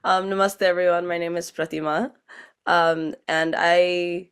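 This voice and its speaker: A woman from an Indo-Guyanese family in the United States, speaking earnestly and deliberately as a priestess giving a personal account on a panel. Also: on the low side, flat delivery, smooth.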